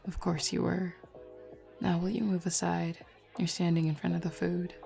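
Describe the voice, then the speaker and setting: A woman's voice: on the low side, level tone, moderate pace, creaky, warm tone. A woman playing a flirty, self-assured werewolf pack beta in a roleplay audio drama, talking casually and teasingly to the listener. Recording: lo-fi music bed behind voice.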